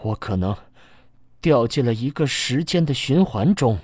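A man acting a bewildered young prisoner trapped in time, halting and dramatic, in an audio drama. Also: medium pitch, expressive, a little mellow, dry recording, studio quality. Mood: dazed, desperate. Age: young adult.

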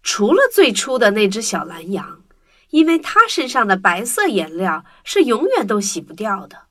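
A woman's voice: mid-range voice, sing-song, moderate pace, a bit bright, a little hiss or hum. A woman narrating a children's storybook tale in a scripted storytelling style.

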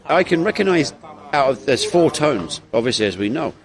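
A man's voice: mid-range voice, big pitch swings, moderate pace, soft background music. A British man co-hosting a casual chat podcast, teasing and insistent, often restarting his sentences. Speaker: podcast co-host.

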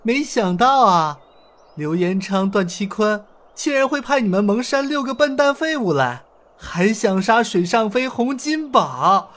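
A man voicing a playful, cocky young male swordsman who mocks his foes with drawn-out taunts in a martial-arts audio story. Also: sits high, very animated, pitched above the performer's own voice. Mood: mocking. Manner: mocking, laughing, drawn-out taunts.

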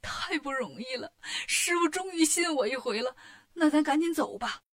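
A woman's voice: high voice, animated, a little fast. A woman voicing a bold, confident male monkey hero with a brash, emphatic delivery for a story. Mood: relieved.

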